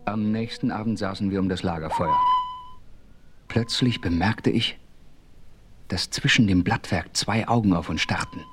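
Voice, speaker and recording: A man plays a calm, self-assured western adventurer telling his own tale. The voice is low, and the delivery is measured and composed, in the manner of a dramatic radio play. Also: noisy background, close to an everyday voice. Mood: suspenseful.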